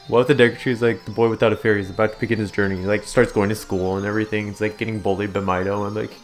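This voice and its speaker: A young man giving casual, rambling commentary while playing a game, in a fairly monotone way. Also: fairly low pitch, very fast, soft background music. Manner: rambling, self-described monotone. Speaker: let's play host.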